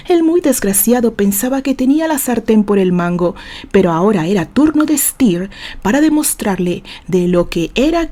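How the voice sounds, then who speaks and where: A Mexican woman reads fiction aloud with a soft, mellow tone and a mid-range pitch. Her delivery is deliberate and expressive, with theatrical phrasing suited to a dramatic audiobook. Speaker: author reading fiction aloud for own channel.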